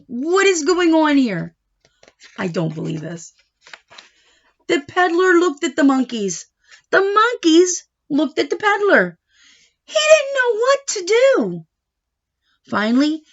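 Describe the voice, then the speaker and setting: Animated high-voiced woman reading to children. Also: creaky, lo-fi sound. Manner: expressive, coaxing, pauses to question and prompt young listeners. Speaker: storyteller reading a picture book aloud to children. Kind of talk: animated children's read-aloud with questions to the listeners.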